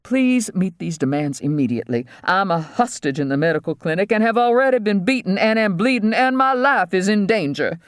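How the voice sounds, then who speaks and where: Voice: mid-range voice, big pitch swings, a little fast, hardly any pauses, no echo, pitched above the performer's own voice, lightly acted. A narrator acts out a scheming dentist playing the desperate hostage in an audiobook. He talks in a raised, urgent voice, formal and overdramatic, recorded dry. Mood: conspiratorial. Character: male mainland dentist, scheming, melodramatic hostage.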